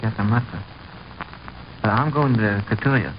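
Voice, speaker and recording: A man's voice: lowish voice, normal speed, lightly acted. An actor playing a cagey, casual small-town young man with a slangy, offhand manner, in old radio drama style. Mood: nonchalant, evasive. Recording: dull, faintly noisy vintage radio recording.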